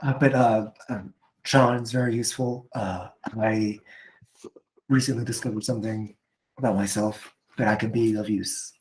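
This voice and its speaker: A man's voice: lowish voice, steady tone, moderate pace, darkish tone, rough recording. A man playing a tabletop game in character, hesitant with many fillers. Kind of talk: casual in-character play.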